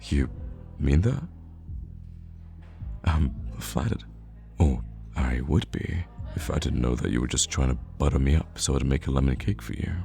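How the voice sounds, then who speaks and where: A man's voice: rumbling low, moderate pace, vocal fry, dark tone, soft background music. A man playing a playful, teasing young man bantering casually with a close friend in a conversational audio roleplay. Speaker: ASMR roleplay voice actor. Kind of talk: conversational ASMR roleplay acting.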